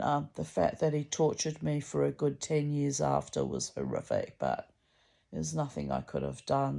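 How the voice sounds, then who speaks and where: A middle-aged woman with a deep, gravelly voice, rambling candidly in a sweary, dramatic, confessional monologue. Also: dark tone. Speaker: personal vlogger.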